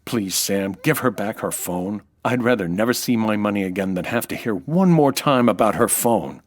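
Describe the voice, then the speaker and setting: A man's voice: lowish voice, lively intonation, moderate pace, lightly acted. A man with an American accent playing a dry, wisecracking, hard-boiled nightclub owner in a 1940s-style radio detective drama. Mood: wry, exasperated. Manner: dry, wisecracking, world-weary.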